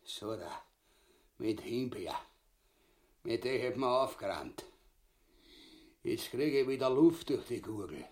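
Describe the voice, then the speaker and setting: Ein Schauspieler mit österreichischem Akzent spielt in einer grotesken Hörspielkomödie einen gefräßigen, feigen, vulgären Thronräuber, der derb und großspurig poltert. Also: male voice, medium pitch, lively intonation, takes it slowly, pauses often, a little mellow. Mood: grumbling, relieved. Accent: Austrian. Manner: ranting, crude, bombastic. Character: gluttonous cowardly vulgar usurper Father Ubu. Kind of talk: grotesque comedy radio play acting.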